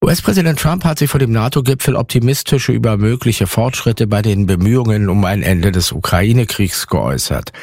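Low-voiced male newsreader, dry studio. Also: dry recording. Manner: measured, even. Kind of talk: formal news reading. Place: radio studio.

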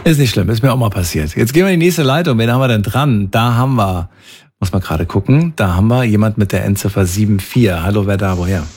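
Low, husky-voiced male phone-in host. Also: quick pace, darkish tone. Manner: chatty, informal, encouraging. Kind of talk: casual, unscripted call-in moderation.

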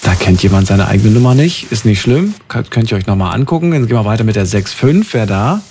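A man hosting a call-in radio show, chatty and lively, quick to move on to the next caller. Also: lowish voice, a little hiss or hum. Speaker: radio host.

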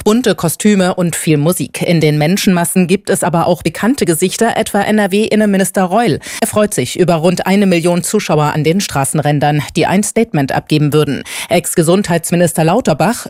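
A woman's voice: fairly low pitch, a little mellow, no echo. A woman with a standard German accent reading a scripted radio news report close to the microphone.

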